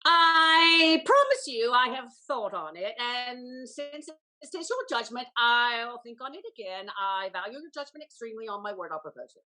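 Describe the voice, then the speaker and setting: A woman's voice: fairly high pitch, animated, normal speed, bright, forceful tone, poor audio. A woman playing a vain, flustered older aristocratic aunt in a Restoration comedy, effusive and stammering, full of exclamations. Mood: gushing, affected. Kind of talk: theatrical Restoration comedy acting.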